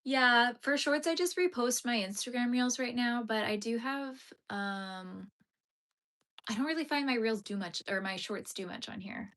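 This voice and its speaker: A woman's voice: on the high side, moderate pace, fairly bright tone. A young woman chatting casually on a live stream as she crochets and reads her chat aloud, laughing often and using lots of fillers. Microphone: phone streaming vertically.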